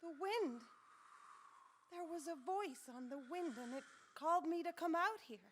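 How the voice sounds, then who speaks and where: Haunted young wife for vintage radio horror, played by a woman: high, fragile, hesitant. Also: animated, normal speed, slight background noise. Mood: haunted, anxious. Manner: hesitant, breaking up her phrases dramatically. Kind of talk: 1940s radio drama acting.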